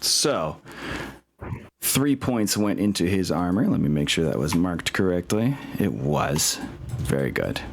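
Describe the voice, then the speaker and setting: A man's voice: low-pitched, sing-song, measured pace, gravelly. A man running a tabletop game as game master, narrating casually and enthusiastically and thinking aloud. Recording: music playing behind the voice. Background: music.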